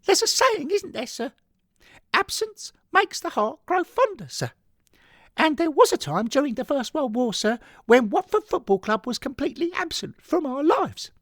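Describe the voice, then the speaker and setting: A man voicing an eager, emotional young English lad in an audio story, excitable and deferential, forever adding "sir". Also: very high voice, dry recording, clean studio sound, pitched above the performer's own voice, heavily acted. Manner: excitable, punctuates phrases with "sir". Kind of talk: scripted audio story monologue.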